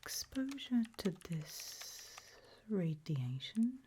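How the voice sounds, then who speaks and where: A woman doing a whispered ASMR roleplay as a kindly, curious scientist, speaking gently and politely in a breathy whisper. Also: fairly low pitch, very animated, very slow, slight background noise. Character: kindly, curious research scientist interviewing an anomaly.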